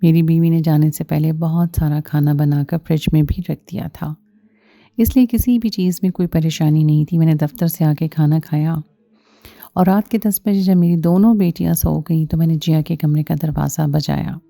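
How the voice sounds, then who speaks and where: Very mellow, low-pitched woman narrating a story. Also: steady tone, normal speed, gravelly, no room sound. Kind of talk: scripted first-person story narration.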